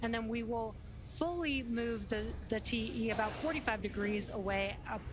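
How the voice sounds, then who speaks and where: Higher-voiced woman explaining over music. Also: moderate pace. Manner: conversational, explanatory. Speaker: launch broadcast co-commentator. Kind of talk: live explanatory commentary. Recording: music playing behind voice.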